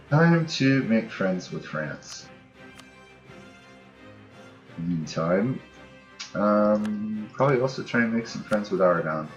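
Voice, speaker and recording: Male gamer, low-pitched, casual let's play narration, thinking out loud, light music underneath. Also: lo-fi sound. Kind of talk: casual strategy game commentary, thinking aloud.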